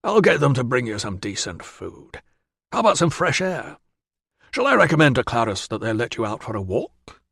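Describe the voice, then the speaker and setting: A man voicing a cheery, fussy Roman doctor who talks in a brisk, reassuring way and calls people "old chap". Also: medium pitch, big pitch swings, gravelly, a bit bright, husky, no echo, clean studio sound, exaggerated voice. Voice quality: brisk, reassuring, says 'old chap'. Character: cheery middle-aged male Roman doctor, kindly, fussy.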